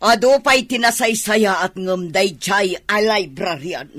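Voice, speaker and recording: A woman's voice: medium pitch, measured pace, fairly bright tone, no room sound. A woman playing a disapproving, suspicious middle-aged mother in a radio drama, scornful and incredulous.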